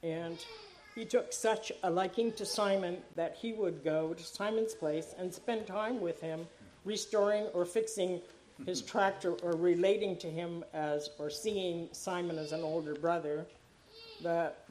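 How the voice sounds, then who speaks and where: A woman's voice: on the low side, fairly even intonation, measured pace, a little mellow. A woman in her sixties whose first language is Dutch reads her personal testimony in church, earnest and at times tearful. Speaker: church member giving a baptism testimony.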